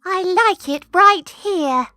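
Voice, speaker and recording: A woman voicing a small, stubborn, wheedling male mouse in a squeaky voice for a children's storybook. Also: animated, slow, bright, forceful tone, dry recording, faint music behind, exaggerated voice. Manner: pleading, eager.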